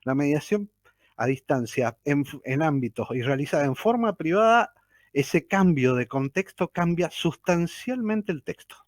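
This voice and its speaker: Rioplatense man presenting as a mediator, higher voice, repeats himself, dry close recording. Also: lively intonation, a little slow, no room sound. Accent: Rioplatense Spanish. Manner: repeats key phrases, frequent "¿sí?" check-ins. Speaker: mediator giving a talk. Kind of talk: lecture-style presentation.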